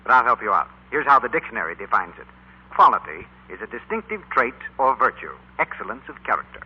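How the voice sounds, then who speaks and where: Man, vintage radio host, fast scripted delivery, teasing professor manner, husky, dull band-limited recording. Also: mid-range voice, big pitch swings. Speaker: radio announcer. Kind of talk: scripted 1940s radio announcing.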